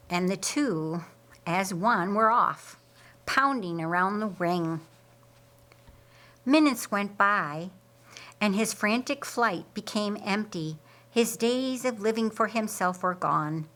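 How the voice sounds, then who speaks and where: A woman narrates a dramatic audiobook tale. Her pace is very slow, with deliberate, theatrical phrasing and a mid-range pitch. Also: fairly bright tone. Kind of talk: dramatic scripted storytelling narration.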